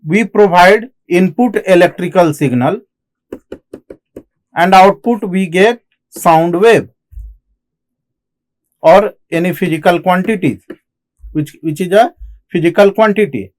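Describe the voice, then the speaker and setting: A man with an Indian accent giving a technical lecture as an instructor, explaining in halting phrases and often repeating himself. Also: high-pitched. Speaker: engineering lecturer. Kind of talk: classroom lecture on technical material.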